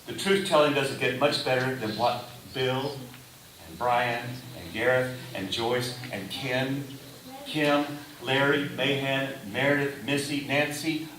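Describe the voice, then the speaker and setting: A man delivering a sermon in a deliberate manner, in short phrases with pauses. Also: medium pitch. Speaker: preacher. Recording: roomy echo, distant mic, faint hiss.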